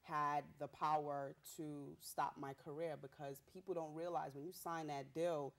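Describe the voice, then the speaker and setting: A young woman rapper from Haiti, a Haitian Creole speaker, telling her story in a bubbly, animated way with street slang. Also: deep voice, unhurried. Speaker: rapper guest. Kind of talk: casual interview.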